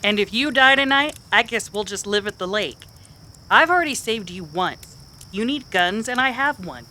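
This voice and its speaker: A man voicing a fiery, stubborn frontier woman with a defiant, sharp manner in a Western radio drama. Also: high voice, animated, moderate pace, slight background noise, heavily acted. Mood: angry. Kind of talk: old-time radio Western acting.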